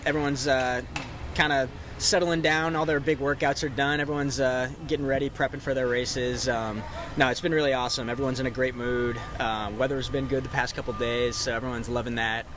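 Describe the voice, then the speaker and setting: A man's voice: medium pitch, fairly quick, noisy background. A young man who grew up in the Dallas suburbs, a college runner chatting casually in a press interview, with plenty of fillers and restarts. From Texas, Dallas suburbs. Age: young adult. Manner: relaxed, many fillers and restarts.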